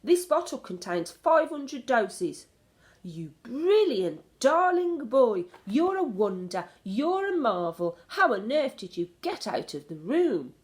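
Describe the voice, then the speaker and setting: A woman's voice: fairly high pitch, sing-song, slow, pitched above the performer's own voice. A woman reading a children's storybook, voicing a loving, cool-headed old grandmother with a chatty, affectionate manner. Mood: delighted, relieved.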